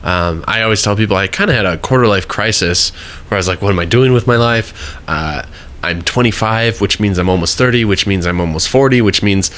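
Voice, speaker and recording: A man in his thirties hosts a casual interview, talking in a friendly, chatty way with quick asides. His voice sits a little low but has a bright, forceful edge. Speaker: podcast host.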